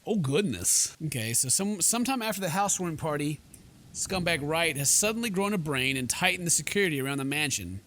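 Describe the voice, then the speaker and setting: A man's voice: a little high, lively intonation, fairly quick, bright, forceful tone, a little hiss or hum. A man hosting a game playthrough, goofy and theatrical, mixing commentary with dramatic read-aloud narration. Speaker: gaming video host.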